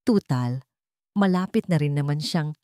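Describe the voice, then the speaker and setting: A woman's voice: low voice, lively intonation, unhurried, dark tone, no room sound, studio quality. A woman narrator playing a scheming, haughty female villain with a taunting, venomous manner in an audio drama. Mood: mocking. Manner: taunting, haughty, mixes in English.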